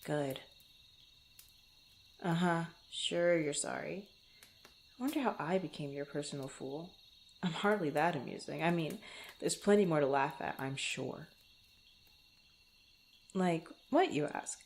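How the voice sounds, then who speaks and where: Woman voicing a fantasy swordswoman, slightly low voice, guarded, teasing, halting banter, ASMR roleplay. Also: slight background noise. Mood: playful, teasing. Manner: flustered, bantering, halting, often sighing or chuckling. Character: guarded, teasing young adventurer swordswoman, former street thief. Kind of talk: ASMR roleplay, one-sided fantasy dialogue to the listener.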